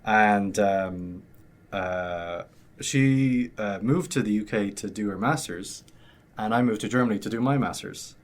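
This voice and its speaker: A man's voice: on the low side, unhurried. A young Irish man in his twenties chatting casually as an interview guest, rambling with lots of fillers. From Ireland. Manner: rambling, many fillers and restarts. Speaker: graduate student guest.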